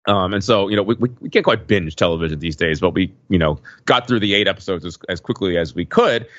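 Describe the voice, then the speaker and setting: A man's voice: sits low, quick pace, no echo. An American man on a podcast panel giving a casual, informal recap with repeated starts, on a slightly muffled mic.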